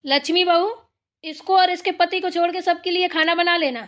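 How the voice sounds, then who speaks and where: A woman acts the stern matriarch of a cartoon village household. Her voice is high for an older woman. She scolds bluntly, hands out orders and threatens anyone who disobeys. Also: fairly even intonation, normal speed, a bit bright. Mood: indignant. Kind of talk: cartoon family drama dialogue.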